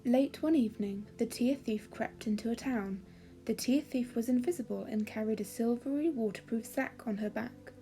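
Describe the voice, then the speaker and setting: A woman storyteller giving a calming, expressive, measured reading of a children's fairy story. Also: on the high side, soft background music.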